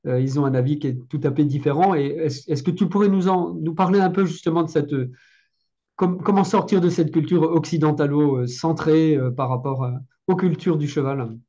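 A man's voice: a little high, normal speed, dark tone. A middle-aged man hosting an interview over a video call, often restarting as he searches for words. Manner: hesitant, many restarts while searching for words. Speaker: host. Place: room at home. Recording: dull video call audio.

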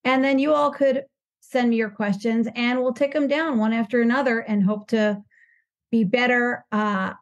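A woman hosting a recovery podcast over a video call, introducing her guest in an upbeat, chatty, unhurried way. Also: on the high side, fairly even intonation, muffled recording. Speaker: podcast host.

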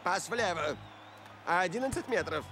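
A man's voice: sits high, animated, measured pace, music in the background. An actor playing a scheming, bossy older male football coach in a cartoon dub, with a theatrical, commanding delivery. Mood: urgent commanding.

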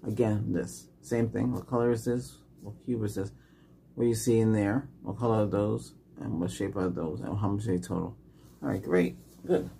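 Low, gravelly middle-aged man. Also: fairly even intonation, moderate pace, warm tone, a little hiss or hum. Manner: short simple questions and instructions. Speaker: ASMR role-player acting as ER doctor. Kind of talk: ASMR medical exam roleplay, improvised. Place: small home room set up as clinic.

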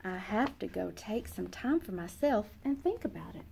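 A woman's voice: medium pitch, lively intonation, normal speed, vocal fry, dark tone, a little hiss or hum. A woman in her thirties reading a children's picture book aloud, expressively acting out the feelings of the young narrator. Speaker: read-aloud host, storyteller. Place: small indoor classroom or reading room.

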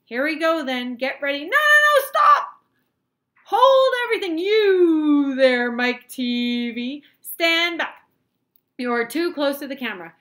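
A woman reading a children's storybook, voicing an excitable, eccentric male chocolate inventor who commands and exclaims like a showman. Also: fairly high pitch, normal speed, pitched above the performer's own voice.

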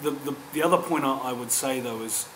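A man in his forties with a New Zealand accent speaks earnestly at a public panel, often restarting his sentences. His voice sits at a middle pitch and carries the echo of a lecture room. A steady background hum runs underneath. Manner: earnest, halting with many restarts. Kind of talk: panel discussion. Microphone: table microphone, distant.

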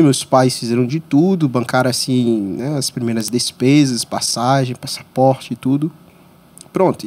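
A man in his thirties from Congo, whose first language is French, telling his life story as a podcast guest in a small studio, voice rising sharply when excited. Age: 30s. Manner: animated storyteller, pitch jumps when excited. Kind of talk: storytelling interview. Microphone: studio mic on boom arm.

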